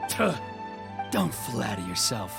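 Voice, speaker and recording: A man's voice: mid-range voice, big pitch swings, slow, vocal fry, music in the background, full character voice. A man voicing a proud, stern swordsman officer in an anime-style video game, formal and haughty. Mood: cold, scornful.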